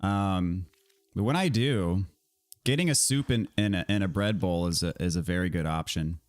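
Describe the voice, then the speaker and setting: An American man with a fairly low voice, slightly raspy from a scratchy throat. He talks as a game streamer in a laid-back, friendly way, casually answering his viewers as he plays. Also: moderate pace, dry recording. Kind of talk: casual livestream chat answering viewers while gaming.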